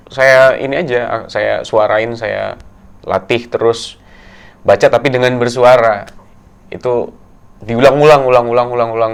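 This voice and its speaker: A young man from Tegal, a guest in a casual interview, talking in a soft, halting way with many fillers. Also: mid-range voice, gravelly, clean tone. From Tegal, Central Java, Indonesia. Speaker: guest actor.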